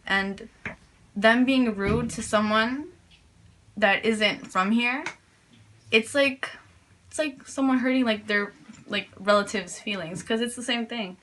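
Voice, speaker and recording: A woman's voice: on the high side, takes it slowly, long pauses, fairly bright tone, a little hiss or hum. A teenage girl from New York answering interview questions casually, hesitant, with many fillers and restarts. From New York, USA. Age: teens.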